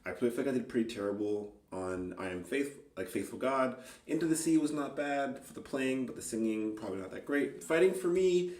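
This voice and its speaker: A man chatting casually and unscripted as an amateur guitarist, halting and self-critical as he thinks aloud. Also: medium pitch, animated. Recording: roomy, distant mic, loud guitar. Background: acoustic guitar playing.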